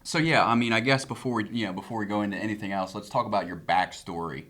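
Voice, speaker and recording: A young man hosts a casual sports interview podcast with a very deep, low voice. He speaks in a relaxed way, full of fillers and restarts. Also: fast talker. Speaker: podcast host and coach.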